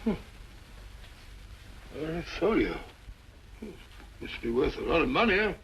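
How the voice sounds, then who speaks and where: A man playing a kindly, bumbling British gentleman sidekick in a 1940s radio mystery, genial and given to muttering. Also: on the high side, sing-song, measured pace, gravelly, dull audio, heavily acted. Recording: slightly noisy old radio recording.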